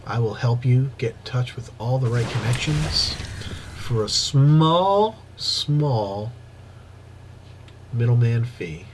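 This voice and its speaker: Man, stuffy sniffly nose, game caster, excitable play-by-play, average pitch, noisy old mic. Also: slow. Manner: excitable play-by-play with casual asides. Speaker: game commentator. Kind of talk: casting a strategy game replay. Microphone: old microphone he wants replaced.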